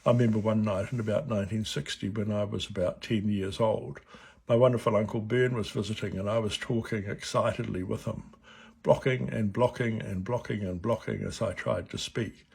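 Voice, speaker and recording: Older NZ man, 70s, low husky voice, mild stutter, calm reflective read. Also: moderate pace. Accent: New Zealand. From New Zealand. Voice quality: stutters at times. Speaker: board chair of a stuttering charity. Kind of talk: personal reflection read aloud.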